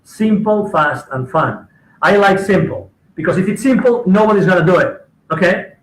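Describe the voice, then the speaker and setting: A man's voice: high-pitched, expressive, normal speed. A man speaking as a coach, enthusiastic and emphatic, repeating his key points. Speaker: guest coach. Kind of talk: casual conversation. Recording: echoey room.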